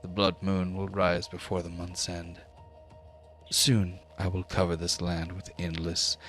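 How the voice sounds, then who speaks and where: A man's voice: sits low, fairly bright tone, heavily acted. A man voicing a solemn prophetic mother deity of a giant tribe in a tabletop game, speaking in grand, ceremonial pronouncements. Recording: music under the voice.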